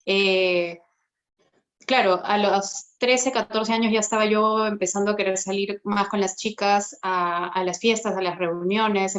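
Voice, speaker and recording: A woman, giving a reflective personal faith testimony with frequent pauses and hesitations. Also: medium pitch. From Peru. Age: 30s. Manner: reflective, unhurried, frequent hesitations and tag questions. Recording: dull lo-fi recording.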